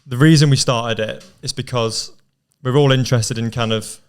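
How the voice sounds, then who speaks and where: A man's voice: medium pitch, normal speed, clear, resonant tone. A man in his twenties co-hosting a casual podcast chat, leading the talk loosely with lots of filler words. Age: 20s. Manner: relaxed, leads the talk with many "kind of" fillers. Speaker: podcast co-host.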